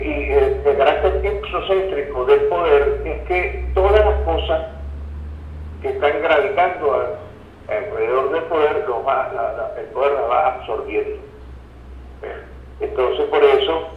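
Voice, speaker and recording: A man giving discursive commentary as a radio interview guest, didactic and halting, repeating phrases for emphasis. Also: medium pitch. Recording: dull, roomy radio call sound.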